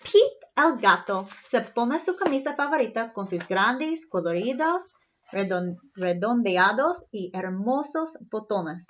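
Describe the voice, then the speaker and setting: Animated high-voiced woman storyteller. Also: a little slow, slight background noise. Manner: animated, teacherly, spelling things out for young children. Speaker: read-aloud storyteller for children. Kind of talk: bilingual picture-book read-aloud with singsong refrains. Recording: slightly dull, lo-fi sound.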